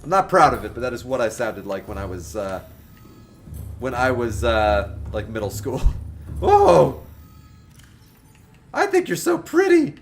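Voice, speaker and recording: A man in his twenties chatting casually with viewers while livestreaming a video game, joking and animated. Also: fairly low pitch, moderate pace, soft background music. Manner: animated, joking, talks to chat by name. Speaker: game streamer.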